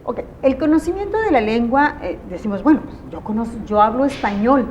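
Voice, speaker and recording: A woman's voice: on the high side, sing-song, a little fast, a little mellow, highs cut off, slight background noise. Mexican woman hosting an educational TV talk. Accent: Mexican Spanish. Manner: conversational, asks long leading questions. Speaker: TV show host. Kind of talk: educational TV interview.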